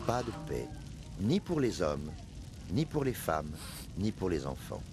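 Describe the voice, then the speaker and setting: Deep-voiced solemn male storyteller. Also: big pitch swings, a little slow, music in the background. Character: male companion of the Prophet, solemn devout storyteller recalling hardship. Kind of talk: dramatized first-person narration for an animated documentary.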